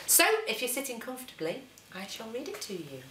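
A woman, a teacher reading a children's story aloud with dramatic, deliberate phrasing. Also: on the high side, big pitch swings, distant mic, background noise. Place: living room, indoors. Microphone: camera a short distance away. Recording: roomy living-room echo.

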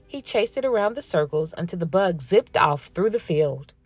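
A woman slowly reading a children's picture book aloud in a gentle storytelling manner. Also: lowish voice, lively intonation, slightly rough, dry recording, faint music behind. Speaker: storybook reader. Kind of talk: children's read-aloud narration.

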